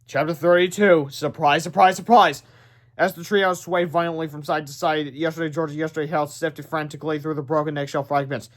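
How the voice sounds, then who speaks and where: A man reading a children's book aloud as storyteller, animated, with casual asides and stumbles over words. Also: on the high side, a little fast.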